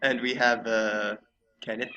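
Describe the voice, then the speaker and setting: Bright-voiced man hosting a noisy podcast. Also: mid-range voice, little pitch movement, a little slow, lo-fi sound. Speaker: podcast host. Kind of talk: casual podcast chat.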